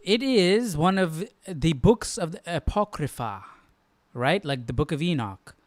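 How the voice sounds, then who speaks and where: Casual guy doing live bible study commentary, slightly high voice, dry audio, asks lots of questions. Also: animated, measured pace, no echo. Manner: conversational, questioning, repeats himself for emphasis.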